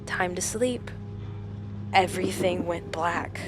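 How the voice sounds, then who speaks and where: Haunted, slow-spoken shaken woman. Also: lowish voice, over music. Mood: dread, hushed intensity. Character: shaken woman recounting a supernatural encounter with a shapeshifter. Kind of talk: audio drama acting.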